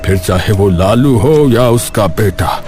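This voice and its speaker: A man playing a dying old father in an audio story, solemn and pleading, with halting delivery. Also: lowish voice, lively intonation, music in the background. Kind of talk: Hindi audio story drama.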